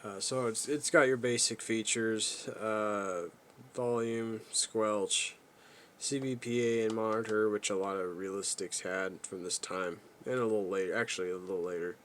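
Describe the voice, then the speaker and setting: Male hobbyist reviewer, lowish pitch, low-key and halting, rambling off the cuff, faint noise behind. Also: fairly even intonation, fairly bright tone. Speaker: radio reviewer, video host. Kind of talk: casual unscripted product walkthrough.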